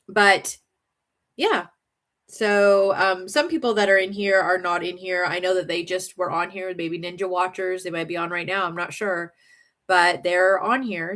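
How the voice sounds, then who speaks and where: A woman with a slight Southern accent hosts a relaxed livestream, chatting with viewers in a rambling way. She fills her talk with ums and little laughs, and her voice sits at a middle pitch. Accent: slight Southern American. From northern United States. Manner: chatty and rambling, many fillers and giggles. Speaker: livestream host. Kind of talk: casual livestream chat.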